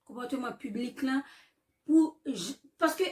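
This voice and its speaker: A woman's voice: a little high, a little slow, a little mellow, recorded from a distance. Chatty woman hosting a commentary channel. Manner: chatty, rhetorical questions. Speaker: channel host. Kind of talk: casual commentary video.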